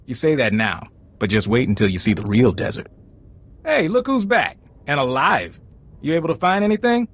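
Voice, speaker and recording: A man's voice: medium pitch, animated, moderate pace. A man playing a wry, easygoing field agent in a video game, talking informally. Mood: upbeat. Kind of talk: video game dialogue acting.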